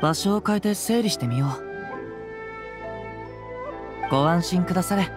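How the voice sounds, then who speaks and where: A man's voice: sits high, quick pace, lightly acted. Game role: man as thoughtful young ronin, composed, polite, old-fashioned samurai speech, background music. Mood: composed, reassuring. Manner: composed, archaic samurai phrasing. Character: calm young wandering samurai, courteous and thoughtful. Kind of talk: video game voice acting.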